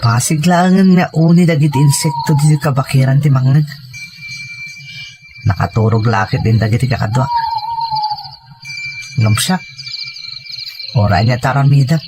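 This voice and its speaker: A man's voice: medium pitch, normal speed, long pauses. A man narrating a dramatic first-person story in a reflective manner. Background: music.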